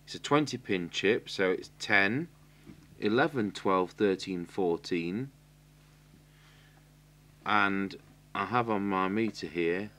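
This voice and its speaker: A middle-aged man with an English accent gives an unscripted hands-on technical tutorial, halting and matter-of-fact as he thinks aloud while working. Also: low voice, fairly bright tone. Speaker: radio repair technician giving a demonstration. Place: indoor electronics workbench. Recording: clear hiss and electrical hum.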